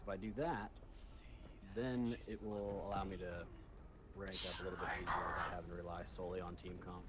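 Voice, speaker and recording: A man's voice: deep voice, steady tone, fairly quick. An American man chatting casually while playing a video game online. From United States. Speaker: online gamer. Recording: loud game gunfire and noise behind. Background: video game gunfire and effects.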